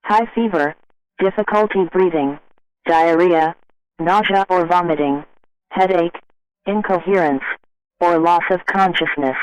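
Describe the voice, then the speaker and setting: A man playing a formal, grave emergency broadcast announcer, reading in a measured and impersonal way. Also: high-pitched, clean tone, rough recording.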